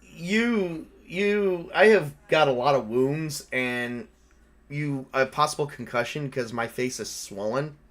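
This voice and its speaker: A man speaking casually and haltingly, with some swearing, as he narrates and plays an improvised tabletop horror game as game master. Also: on the high side, a bit bright.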